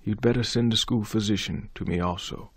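A man's voice: on the low side, steady tone, brisk, breathy edge, no echo. A man voicing a stern, terse older male trustee who gives short, clipped orders. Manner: curt, clipped commands.

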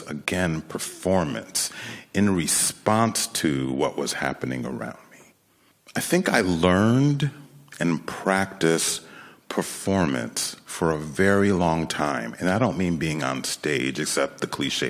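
An American man in his late fifties telling a personal story to an audience in a reflective, conversational way, with pauses for emphasis. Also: sits low, big pitch swings. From Rochester, New York, United States. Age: late 50s, nearly sixty.

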